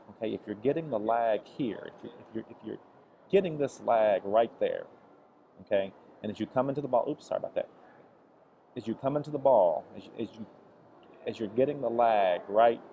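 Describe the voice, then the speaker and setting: A man's voice: on the low side, mellow, highs cut off, slight background noise. A man recovering from the flu, teaching a golf lesson over swing footage, with frequent restarts and a repeated "okay". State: recovering from flu, voice deeper than usual. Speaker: golf instructor.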